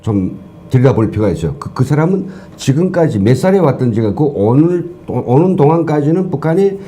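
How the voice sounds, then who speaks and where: A man with a somewhat high pitch for a man speaks as a talk show guest. His voice is mellow. He explains points earnestly, in a lecturing way. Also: sing-song, fairly quick. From North Korea. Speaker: guest, former professor.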